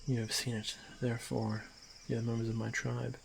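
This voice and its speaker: A stoic orc warrior, acted by a man in a fantasy ASMR roleplay, honor-bound and gently protective. His voice is very creaky and breathy, delivered in formal, halting phrases with frequent pauses. Clear night noise of crickets and insects sits behind him. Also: mid-range voice, completely flat delivery. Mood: solemn, firm. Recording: noisy track with night insect ambience. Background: crickets and insect ambience.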